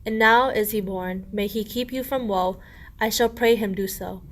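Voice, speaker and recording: A woman's voice: mid-range voice, moderate pace, close to an everyday voice. Woman acting a solemn, benevolent herald angel. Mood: solemn blessing. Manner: declamatory rhymed verse. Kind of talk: amateur radio play, verse mystery play.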